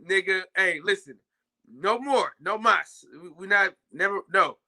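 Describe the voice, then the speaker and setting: A young man hosting a casual live-stream chat, loudly shouting out viewers by name. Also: high-pitched, measured pace. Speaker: podcast host.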